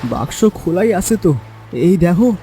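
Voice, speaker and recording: A man's voice: sits high, sing-song, normal speed, mellow, faint music behind, pitched above the performer's own voice. A man voicing an honest young village boatman in an audio horror story, earnest and emphatic, with a rural Bangladeshi accent. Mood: subdued.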